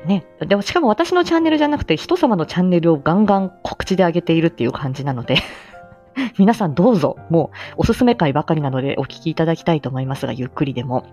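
Effusive, low-voiced woman chatting on a livestream. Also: very animated, very fast, mellow, soft background music. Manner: effusive, humble, often laughing mid-talk. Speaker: livestream host and amateur voice actor. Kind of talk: casual solo livestream chat to listeners.

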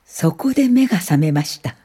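A woman's voice: lowish voice, very animated, normal speed, mellow, breathy edge. A woman acting in a Japanese radio drama as a composed, earnest and determined welfare pioneer, speaking articulately. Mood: reflective.

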